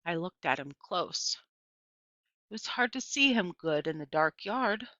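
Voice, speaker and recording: A woman narrates a storybook in a bright, forward voice. The recording is dry and close, with a slightly muffled, lo-fi sound. She reads as a first-person storyteller. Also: fairly low pitch, expressive, unhurried, no echo. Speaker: audiobook reader.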